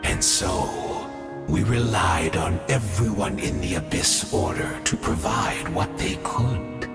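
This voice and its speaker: Game villain: ancient scheming exile, theatrical and mock-courteous, low gravelly voice, unhurried, fanatical about his cause. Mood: measured, mock-apologetic. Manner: theatrical, measured, mock-courteous, swelling into fervour. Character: grandiose scheming villain, centuries-old exile obsessed with reviving homeland. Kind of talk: dramatic video game voice acting. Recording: echoey hall reverb under music. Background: game score music.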